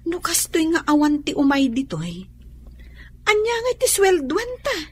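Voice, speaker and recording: A high-voiced, feisty Filipino employee. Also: female voice, wildly swooping pitch, normal speed, slight background noise. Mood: complaining. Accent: Filipino, Ilocano. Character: feisty, scheming young computer-shop employee. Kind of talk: radio drama acting.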